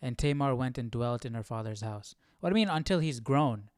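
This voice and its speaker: Clear-voiced man puzzling aloud casually. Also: medium pitch, animated, a little fast, no room sound, clean studio sound. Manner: puzzles aloud, repeating phrases. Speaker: Bible study host. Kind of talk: casual live reading and commentary.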